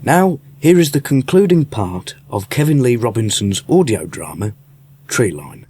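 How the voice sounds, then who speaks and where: A man's voice: mid-range voice, expressive, a little slow, darkish tone, husky. A man presenting scripted radio links as a reporter.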